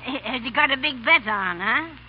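A woman's voice: sits high, animated, normal speed. An elderly woman in 1930s radio drama, playing a bedraggled, cheeky old street apple seller with a thin voice. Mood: gruff, cheeky. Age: 70s. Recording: dull, faintly noisy 1930s radio recording.

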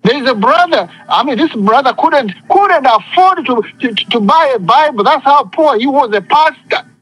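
A man's voice: very high voice, animated, normal speed, fairly bright tone, husky, no echo, low-quality recording. A man preaching an impassioned sermon, speaking emphatically with repetition and often calling for responses from listeners. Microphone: phone conference call.

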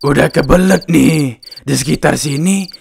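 A man's voice: on the high side, normal speed, gravelly, background noise. A man voicing a simple-minded, oddly polite madman in a comedy cartoon, with music and night insects behind. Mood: uneasy, naive.

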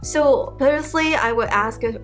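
A woman in her thirties whose first language is Chinese, giving casual study advice to camera from a living-room armchair, chatty and animated. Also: sits high, normal speed, faint music behind. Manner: chatty, animated, acts out example emails. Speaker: educator YouTuber.

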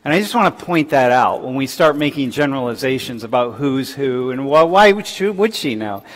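Older male lecturer, casual and chatty, long hall reverb, mid-pitched voice. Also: animated, a little fast. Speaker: professor. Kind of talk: lecture with class interaction.

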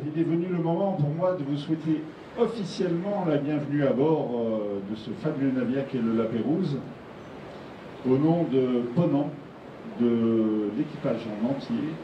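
A middle-aged man with an English accent addresses a crowd as a ship's captain, formal and ceremonious, with a dry self-mocking turn. His voice comes through a handheld microphone over a PA on an outdoor deck at night. It sounds distant and roomy, dull in tone, with steady background noise. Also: a little high. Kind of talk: formal welcome speech.

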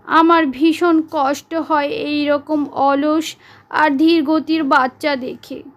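A woman voicing a fretful male donkey who slowly and sadly laments to his friend in a storybook tale. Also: sits high, little pitch movement. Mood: sorrowful. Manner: slow, pleading, lamenting.

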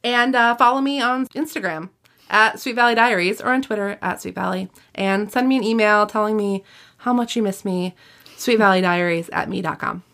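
A woman in her thirties hosts a book podcast in a casual, conversational way. Her voice sits at a middling pitch, with an informal chatty delivery. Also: moderate pace, fairly bright tone. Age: 30s. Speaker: podcast host.

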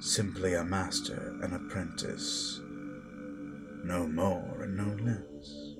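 A stern, menacing Sith master, acted by a man, speaks in a low voice and very slowly, with grandiose weighted pauses. It is a dramatic audio roleplay, with music clearly playing underneath. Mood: grave, instructive. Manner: deliberate, grandiose, pausing for weight. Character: stern, menacing male Sith master teaching his apprentice. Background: loud background music.

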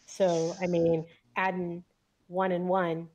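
A woman's voice: lowish voice, level tone, slow, a little mellow. A woman playing a tabletop roleplaying game, talking casually and thinking aloud as she goes. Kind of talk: casual in-character game talk. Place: indoors at home on a video call.

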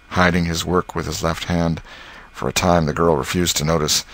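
Male audiobook narrator, low-pitched, measured, literary storytelling. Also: fairly bright tone.

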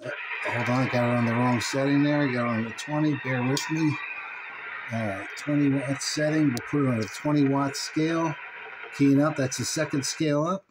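A man's voice: mid-range voice, steady tone, normal speed. A man giving a casual, folksy hands-on demo, talking informally to viewers as he handles a radio. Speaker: CB radio owner demonstrating a set. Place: indoors, small room at home. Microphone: handheld phone filming the radio. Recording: noisy phone-video audio with radio hiss. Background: clear noise and CB radio sound.

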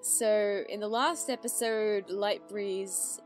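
Casual woman commentator over soft music. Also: medium pitch, fairly even intonation, slow pace, rough recording. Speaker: reaction video commentator. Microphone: voice-over with background music.

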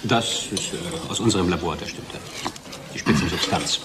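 Low-voiced, touchy lab chemist played by a man. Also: normal speed, close to an everyday voice. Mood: irked. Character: proud, easily irked male lab chemist. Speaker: laboratory chemist character. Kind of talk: German radio drama acting. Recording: clear background noise.

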